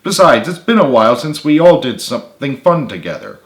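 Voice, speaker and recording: A man voicing a patient, laid-back young man who calms his friends. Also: medium pitch, fairly quick, reverberant.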